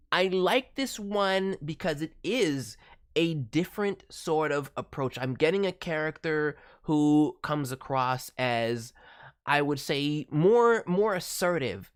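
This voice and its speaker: Casting: woman, low-pitched voice, emphatic voice director talking through casual feedback and advice. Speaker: voice director reviewing demo reels. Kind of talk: casual spoken critique with advice.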